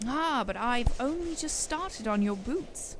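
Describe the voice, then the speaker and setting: A woman's voice: a little high, lively intonation, slow, noisy background, pitched above the performer's own voice. A woman voicing a lazy, hedonistic young princess with playfully haughty airs in an audio story. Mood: coy.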